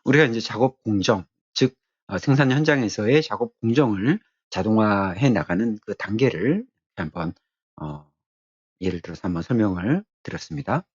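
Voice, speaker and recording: A man giving an explanatory technical lecture as an instructor, speaking haltingly and repeating his key phrases. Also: fairly low pitch, husky, no echo, lo-fi sound.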